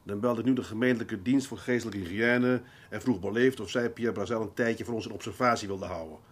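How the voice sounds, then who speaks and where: A middle-aged actor playing a shrewd, stubborn senior police detective in a radio drama, speaking drily and deliberately with gentle sarcasm. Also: male voice, on the low side, steady tone, close to an everyday voice. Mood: pensive, firm. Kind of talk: Dutch radio drama acting.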